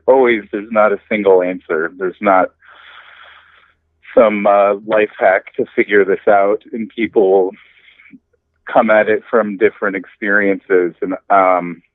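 A man's voice: lowish voice, no room sound. A man in a casual podcast conversation, thinking aloud in a hesitant way. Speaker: podcast discussion partner. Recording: dry, narrow phone-like line.

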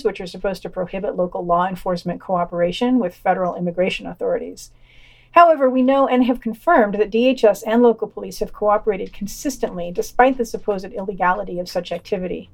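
A woman's voice: mid-range voice, darkish tone. Woman reading a scripted podcast news roundup. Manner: reads quotations aloud, announcing quote and unquote. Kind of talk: scripted narration of news summaries and quoted articles.

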